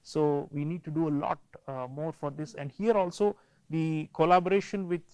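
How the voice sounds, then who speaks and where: A man hosting a panel discussion, speaking in a measured way with frequent pauses and turning to address his viewers. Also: on the high side, steady tone, darkish tone, clear, resonant tone, dry recording. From India. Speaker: show host.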